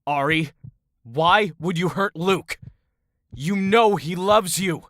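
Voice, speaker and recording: A man's voice: high-pitched, takes it slowly, fairly bright tone, no echo, studio quality. A man playing a nervous, naive adventurer in an audio drama, jumpy and plaintive in his delivery. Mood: pleading. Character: nervous, naive, easily startled male adventurer.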